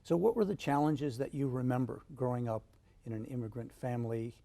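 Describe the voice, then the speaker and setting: A woman hosting an interview with a strikingly low, mellow voice. She keeps her questions short and friendly and slips in dry little quips. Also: a little fast. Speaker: interview host. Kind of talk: conversational interview.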